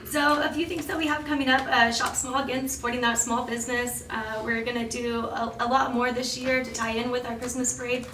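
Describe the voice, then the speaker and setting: A woman with a slightly raspy voice giving a chatty, informal report at a public meeting, full of ums and restarts. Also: fairly high pitch, bright, forceful tone. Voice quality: slightly raspy from cheering over the weekend. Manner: chatty, many ums and self-corrections. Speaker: downtown business promoter reporting to council. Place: council chamber. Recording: echoey council chamber, mic far off, faint hiss.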